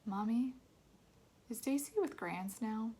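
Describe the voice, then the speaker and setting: A woman's voice: fairly high pitch, slow, dark tone, slight background noise. A woman voicing a sensitive, curious young boy in a storybook, with a pleading, questioning manner. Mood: wondering. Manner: pleading, inquisitive.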